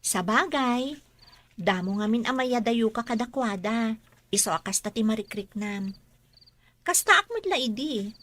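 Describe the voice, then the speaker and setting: A woman's voice: a little high, expressive, a little slow, pauses often, fairly bright tone. An actress playing a kind young woman in a radio drama, friendly and reassuring. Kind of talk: Ilocano radio drama acting.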